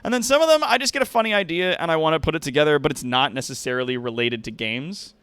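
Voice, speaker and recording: A man's voice: fairly high pitch, expressive, fast talker, a bit bright, clean tone, no room sound. A man hosting a livestream, chatting casually and directly to viewers with slangy, profane language. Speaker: livestream host and content creator. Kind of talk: casual stream chat.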